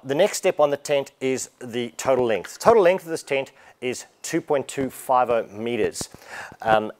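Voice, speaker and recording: A man, explaining a product in a casual walkthrough inside a large warehouse showroom. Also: medium pitch. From Australia. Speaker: product presenter.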